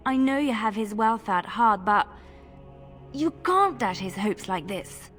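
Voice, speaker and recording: Gentle, earnest female companion. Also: medium pitch, expressive, unhurried, soft background music. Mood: gently insistent. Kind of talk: video game acting.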